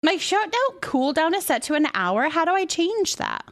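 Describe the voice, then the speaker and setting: High-voiced, bubbly woman live streamer. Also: sing-song, moderate pace. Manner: bubbly, affectionate, chatty. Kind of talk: casual livestream chat, greeting viewers.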